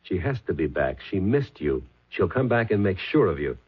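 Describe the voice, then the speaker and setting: An actor playing a shrewd, terse police sergeant in a 1970s radio mystery, matter-of-fact and methodical. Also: male voice, low-pitched, sing-song, moderate pace, husky, exaggerated voice. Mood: grim resolve. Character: shrewd, hard-boiled male police sergeant. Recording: muffled lo-fi 1970s radio recording.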